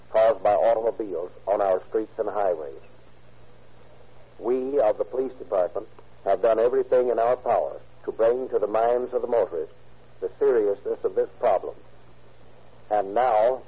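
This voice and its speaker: A solemn police chief. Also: male voice, fairly low pitch, husky. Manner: solemn, earnest. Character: police chief giving a public appeal. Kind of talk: 1940s radio address. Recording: muffled old radio.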